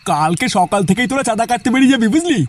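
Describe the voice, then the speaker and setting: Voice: medium pitch, very animated, very fast, lightly acted. A performer who sounds like a woman, playing a bossy, earnest young male village club leader in a cartoon, talking in a commanding, explanatory way. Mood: instructing. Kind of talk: cartoon dialogue.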